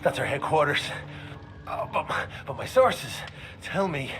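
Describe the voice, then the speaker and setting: An actor playing a nervous, chatty, weaselly arms trafficker turned informant in a video game. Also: male voice, fairly high pitch, big pitch swings, measured pace, a bit bright, slight background noise. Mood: conspiratorial. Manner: hesitant, slippery, explanatory. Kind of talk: dramatic video game acting.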